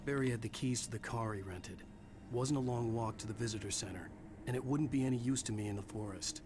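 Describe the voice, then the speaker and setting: An actor playing a brooding male writer who narrates his own thriller gravely. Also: mid-range voice, fairly quick, background noise, close to an everyday voice. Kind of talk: scripted first-person thriller narration.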